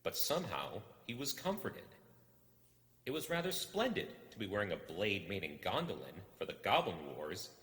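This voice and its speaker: A man narrating a storybook expressively, in a measured, dramatic delivery with deliberate pauses. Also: sits low, fairly bright tone. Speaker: audiobook reader. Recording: roomy echo with long reverb.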